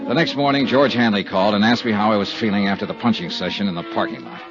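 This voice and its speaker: A man's voice: fairly low pitch, animated, fast, natural voice. An actor playing a tough, weary insurance investigator who narrates his case tersely in 1950s radio drama style. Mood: grim narration. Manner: terse, hard-boiled. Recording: dull 1950s radio recording with music.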